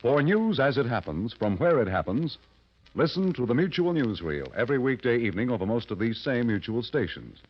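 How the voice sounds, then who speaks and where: A man's voice: mid-range voice, slightly rough. A man announcing formally and emphatically in scripted 1940s network radio style. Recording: muffled vintage radio broadcast. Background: music.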